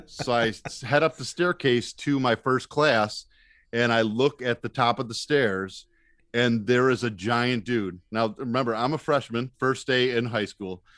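A man's voice: medium pitch, a little slow, a bit bright, dry recording. A man with an American accent telling self-deprecating stories in a casual, comic way as a podcast guest.

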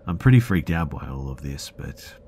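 A man with a deep voice narrating first-person scary stories for a podcast, often dropping to a hush. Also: expressive, normal speed, a little hiss or hum. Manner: hushed, slipping into whispers at tense moments.

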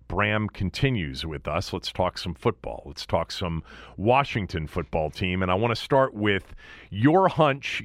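A man's voice: fairly low pitch, a bit bright, no echo, studio quality. A middle-aged man hosting a sports radio show, talking and interviewing in a casual, conversational way.

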